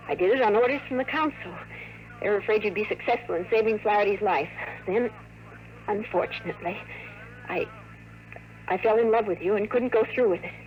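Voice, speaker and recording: A woman's voice: mid-range voice, lightly acted. An actress playing an earnest woman agent in love, urgent and beseeching, in a 1950s radio drama. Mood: confessing. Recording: noisy vintage radio recording.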